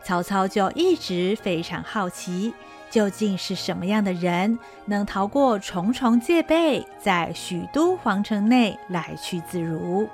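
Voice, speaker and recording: A woman narrating a radio drama with an expressive, storytelling delivery. Her pitch moves animatedly through each sentence. Faint music runs underneath.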